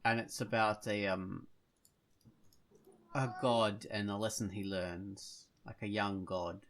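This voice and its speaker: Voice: low voice, fairly even intonation, slow, fairly bright tone, slight background noise. A podcast co-host chatting casually, with a hesitant, drifting delivery.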